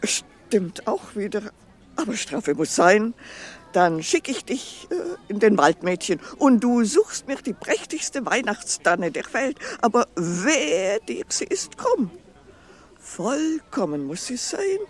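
A woman's voice: on the high side, big pitch swings, takes it slowly. An actress playing a haughty, mocking evil queen in a children's radio play, imperious and scornful, with hesitant fillers.

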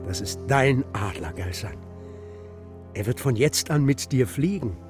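A stern old grandfather acted by a man in a children's radio drama. He talks in short, commanding phrases, gruff in manner, his medium-pitched voice moving very freely up and down. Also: animated, unhurried, over music, lightly acted. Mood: solemn. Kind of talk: German children's radio play acting.

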